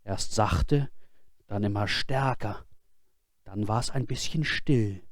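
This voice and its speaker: A man reading a fairy tale for children as scripted audiobook narration, in an expressive, dramatic storytelling manner. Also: lowish voice, slow pace, no room sound, clean studio sound. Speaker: audiobook reader.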